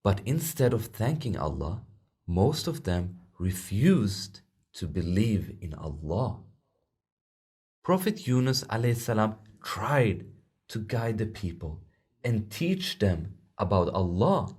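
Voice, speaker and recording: A man narrating a children's storybook in an expressive, deliberate storytelling manner. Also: on the low side, slightly rough.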